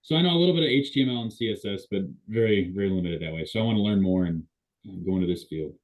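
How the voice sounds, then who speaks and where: A man introducing himself to an online class, with hurried restarts, over a video call. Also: fairly low pitch, poor audio. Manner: quick, self-correcting restarts. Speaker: student. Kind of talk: self-introduction.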